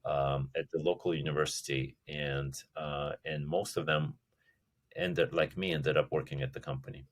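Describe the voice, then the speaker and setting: A man in his forties, from Russia, talking as a company chief in a casual interview, halting with many restarts and fillers. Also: rumbling low, steady tone. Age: 40s. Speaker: company CEO guest.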